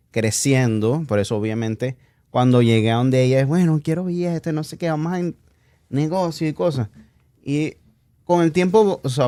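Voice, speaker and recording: A man speaks as a guest in an informal, reflective chat. His tone is dark and relaxed at a medium pitch. The recording is dry and close. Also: no echo. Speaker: podcast guest. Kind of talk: casual chat, personal reflection.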